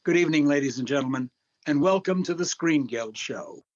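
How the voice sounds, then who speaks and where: A man's voice: a little high, expressive, a little fast, lo-fi sound, natural voice. Genial 1930s radio emcee played by a man. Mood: upbeat, welcoming. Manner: polished, good-natured showman patter. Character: genial male radio master of ceremonies, 1930s Hollywood. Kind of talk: 1930s radio variety show acting.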